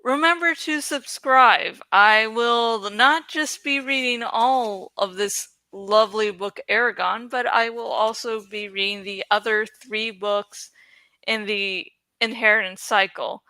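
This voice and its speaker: A woman's voice: a little high, slow pace, pushed and bright, no echo. A woman YouTuber talking casually to her viewers in a playful, rambling, self-deprecating way. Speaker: YouTuber who reads books aloud. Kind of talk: casual, chatty vlog talk to viewers.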